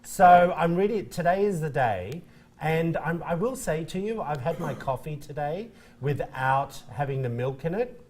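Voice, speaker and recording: Lively, chatty male morning host. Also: on the high side, measured pace. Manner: chatty and self-teasing, laughs easily. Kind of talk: casual studio chat.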